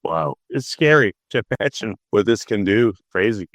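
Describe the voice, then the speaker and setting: A man hosting a podcast, asking eager, curious questions and thinking out loud as ideas come to him. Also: on the low side, moderate pace, no room sound, studio quality. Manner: curious, enthusiastic, thinks aloud. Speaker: podcast host. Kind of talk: interview questions.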